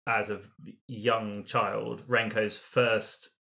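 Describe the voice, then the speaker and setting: Bright-voiced man brainstorming casually. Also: fairly low pitch, little pitch movement, drawn-out words, gravelly. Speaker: tabletop roleplay player. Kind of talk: casual collaborative story brainstorming.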